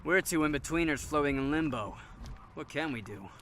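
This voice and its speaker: A man's voice: a little high, expressive, moderate pace, fairly bright tone, slight background noise. An actor playing a wry, worried man of twenty-nine surviving a zombie apocalypse in a conversational audio drama. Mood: worried, earnest. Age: 20s.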